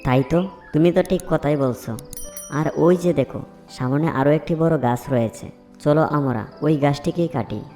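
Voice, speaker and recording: A man's voice: a little high, moderate pace, mellow, faint music behind, close to an everyday voice. A man playing plain-spoken, wary woodcutters in a children's fairy tale cartoon. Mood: practical.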